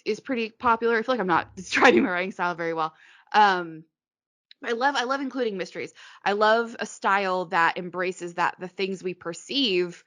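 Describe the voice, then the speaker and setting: A woman talks through her answers in a loose, rambling way, circling back with "like" and half-started phrases. Her voice sits on the low side and sounds close and dry, with almost no room around it. Now and then she hiccups. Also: fairly bright tone, no room sound. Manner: rambling, frequent "like" and restarts. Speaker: interviewed author. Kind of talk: casual interview answers.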